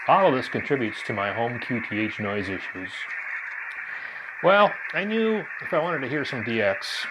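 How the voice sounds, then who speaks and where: Male narrator for a hobby radio vlog, mid-pitched voice, scripted storytelling delivery. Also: fairly bright tone, rough recording. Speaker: amateur radio video narrator.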